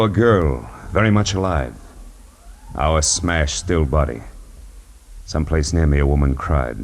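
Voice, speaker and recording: An actor playing a wry, hard-boiled private detective in a 1940s radio noir, with clipped, dramatic delivery. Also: male voice, sits low, close to an everyday voice. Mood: grim. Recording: noisy old 1940s radio recording.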